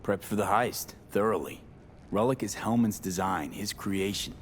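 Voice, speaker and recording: A man voices a tough young video game mercenary. The voice sits fairly low and comes in terse, cool fragments, stubborn and unfussy, over a faint rumble. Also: moderate pace, close to an everyday voice. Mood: cool, measured. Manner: terse, drops subjects, matter-of-fact. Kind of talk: video game dialogue acting.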